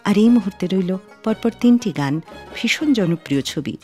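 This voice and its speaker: A woman's expressive, rising and falling voice on a nostalgic radio music show, introducing the next songs over soft music. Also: mid-range voice, moderate pace.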